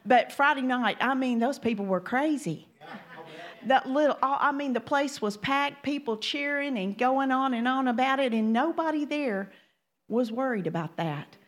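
A woman in her sixties from Louisiana, with a Louisiana accent, chatting to a church congregation as a minister's wife, homey and quick to laugh. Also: sits high. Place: church sanctuary.

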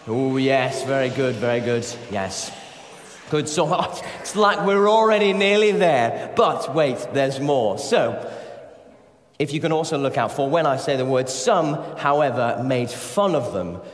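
A man speaks to a congregation in a reverberant church hall. His pitch moves around in a lively way as he leads the talk and encourages people to join in. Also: unhurried. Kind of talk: interactive sermon with scripture reading.

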